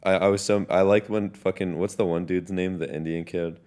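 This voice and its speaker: Deep-voiced young man rambling casually. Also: steady tone, fairly quick, a little mellow. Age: young adult. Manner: rambling, heavy on fillers like "like". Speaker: podcast co-host. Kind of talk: casual chat. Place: small studio stage with curtain backdrop. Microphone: handheld mic.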